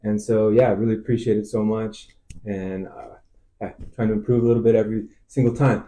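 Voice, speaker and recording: A man hosting a podcast interview in a casual, halting way, with lots of fillers and surprised interjections. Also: fairly low pitch, little pitch movement, dark tone. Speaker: podcast host, real estate agent.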